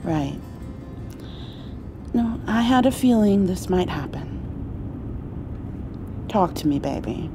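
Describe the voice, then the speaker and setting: A woman playing a caring, playful mother in an intimate ASMR roleplay, soothing her grown child with gentle, halting speech and endearments. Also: lowish voice, animated, music in the background. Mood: gentle, concerned. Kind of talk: intimate comfort ASMR roleplay, acted as one side of a conversation.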